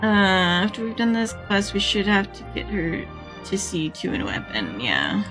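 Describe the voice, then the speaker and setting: A woman's voice: mid-range voice, big pitch swings, moderate pace, over music. A woman live streamer chatting casually over a video game in rambling, informal asides.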